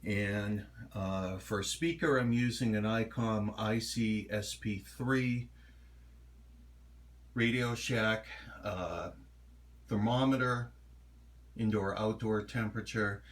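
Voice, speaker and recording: A middle-aged man giving a casual, halting home tour of his hobby gear in a rambling, unhurried way. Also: lowish voice. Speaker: hobbyist showing his radio collection. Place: home kitchen.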